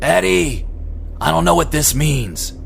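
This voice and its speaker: A man voicing a gruff, agitated farmer in a horror audiobook, shouting and insistent. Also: fairly high pitch, lively intonation, a little slow, a little hiss or hum, pitched above the performer's own voice, exaggerated voice. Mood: shaken, pleading. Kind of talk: dramatised horror audiobook character.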